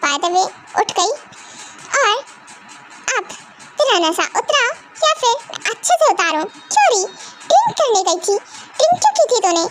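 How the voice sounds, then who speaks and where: A woman's voice: very high-pitched, very animated, slow pace, long pauses, over loud music, heavily acted, rough recording. A woman voicing a fussy middle-aged mother who scolds and nags her daughter with sarcasm, in a comic sketch. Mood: teasing.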